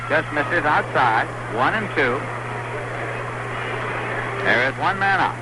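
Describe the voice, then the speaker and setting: A man calling a baseball game play by play for radio in a measured, calm way, heard on a noisy 1960s broadcast. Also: on the high side. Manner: measured, calm calling that lifts on big plays. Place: stadium broadcast booth.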